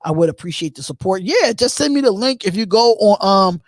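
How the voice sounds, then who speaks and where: A man's voice: high voice, lively intonation, no room sound, clean studio sound. Casual thirty-something male live-show host. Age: 30s. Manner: casual, repeats himself, drawn-out ums. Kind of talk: solo live call-in show, reading chat comments.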